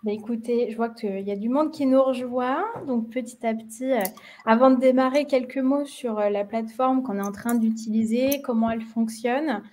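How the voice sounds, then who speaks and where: A young Parisian woman hosts an online webinar. She talks in a slightly higher, mellow voice. She keeps stopping for fillers and small restarts. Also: normal speed. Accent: Parisian French. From France. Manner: hesitant, many fillers and restarts. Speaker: marketing webinar host. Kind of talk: webinar presentation.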